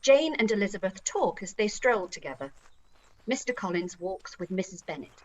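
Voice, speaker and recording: A woman's voice: mid-range voice, expressive, normal speed, rough recording. A woman reading stage directions as a narrator, in a scripted style.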